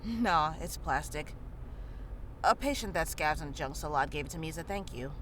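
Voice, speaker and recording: A woman's voice: low voice, expressive, moderate pace, fairly bright tone. Woman acting a frank medic, faint noise. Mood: dry humor. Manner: casual, matter-of-fact. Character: frank, caring female medic in a grim world. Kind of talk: visual novel character dialogue.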